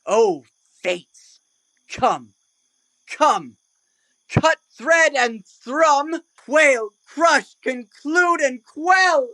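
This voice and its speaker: A woman's voice: high-pitched, animated, drawn-out words, sharp tone, no echo, exaggerated voice. A woman playing a hammy amateur player as a doomed, grandly lamenting lover in a Shakespearean audio play, declaiming melodramatically. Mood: anguished.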